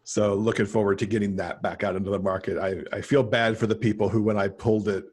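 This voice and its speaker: A man's voice: on the low side, steady tone, quick pace, darkish tone, breathy edge. A middle-aged man chatting casually about his work as a guest author on a video call. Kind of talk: casual video interview chat. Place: indoor room, video call.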